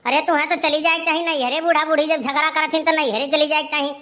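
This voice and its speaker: A woman's voice: high-pitched, steady tone, fast talker, sharp tone. A woman playing a heavyset, crafty village elder sister in a comedy cartoon, chatty and coaxing, with an Awadhi accent. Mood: teasing, defensive.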